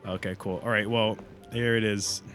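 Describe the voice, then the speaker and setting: A man with a fairly low voice streams a game with music playing behind him. He thinks aloud in loose, informal commentary and swears freely. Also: fairly even intonation, normal speed, fairly bright tone. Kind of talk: casual livestream commentary.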